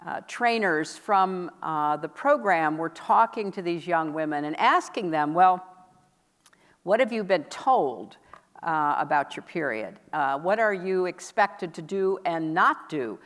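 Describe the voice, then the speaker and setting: A woman hosting a conference session, giving a public speech in a measured, deliberate and emphatic way. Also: lowish voice, lively intonation, fairly bright tone. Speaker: host presenting a commitment at a conference session. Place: large indoor event hall. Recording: echoey hall, long reverb.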